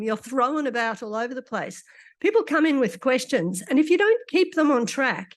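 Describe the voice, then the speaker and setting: A woman doctor gives a conference lecture in a conversational way with dry asides, her voice a bit croaky after illness. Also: high-pitched. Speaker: doctor giving a conference talk.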